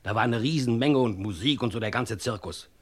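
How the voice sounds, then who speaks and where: A man acts out a film star's scheming male friend in a radio crime drama. His mid-pitched voice is husky and slightly rough. He talks in a matter-of-fact, persuasive way, handing out instructions and taking charge. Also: a little fast, natural voice. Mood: urgent recounting.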